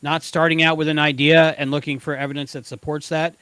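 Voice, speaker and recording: Higher-voiced man, halting podcast co-host. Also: flat delivery, a bit bright, clear, resonant tone, no room sound. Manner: halting, searching for words, stumbling over starts. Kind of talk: casual discussion and review.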